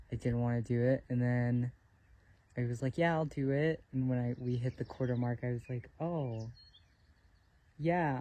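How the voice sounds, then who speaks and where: A man's voice: mid-range voice, darkish tone. A man from North Carolina, born in Puerto Rico, chatting casually and reflectively in a self-recorded hiker interview, a little hesitant and self-amused. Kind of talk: casual, reflective chat to camera.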